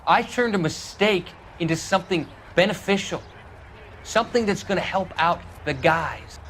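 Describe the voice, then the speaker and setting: An actor in a video game playing an earnest, naive war veteran who pleads haltingly with his old comrade. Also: high-pitched, fairly bright tone, slight background noise. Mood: pleading, defensive. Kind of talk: dramatic video game acting.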